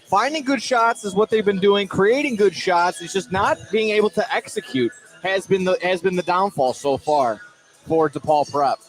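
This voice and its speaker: A man's voice: sits high, expressive, normal speed, faint music behind, poor audio. A man giving informal, conversational color commentary on a live basketball game, often repeating his phrases.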